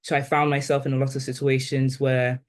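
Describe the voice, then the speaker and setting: A woman's voice: very deep voice, little pitch movement, brisk. Reflective young woman, mixed Ghanaian-British accent. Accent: blend of Ghanaian and British, now neutralized. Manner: thoughtful, with many "like" and "um" fillers. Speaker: guest. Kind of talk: reflective interview conversation.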